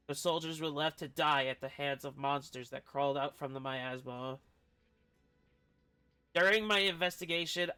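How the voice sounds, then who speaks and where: A man's voice: on the high side, bright, forceful tone, clean tone. A man who streams games, reading story text aloud and adding casual commentary. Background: video game music.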